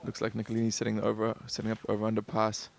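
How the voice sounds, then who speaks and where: Low, gravelly commentator, man. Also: monotone, rapid-fire. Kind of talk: live match commentary.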